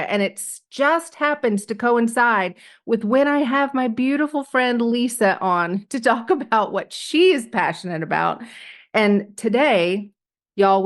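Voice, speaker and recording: A laughing, hesitant podcast host woman. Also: mid-range voice. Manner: reflective, hesitant, laughs often. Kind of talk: casual interview chat.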